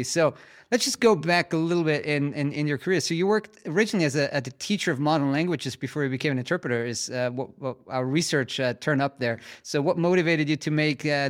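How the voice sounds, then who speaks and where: A man hosting an interview podcast, asking questions in a casual, halting way with fillers. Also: medium pitch. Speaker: podcast host.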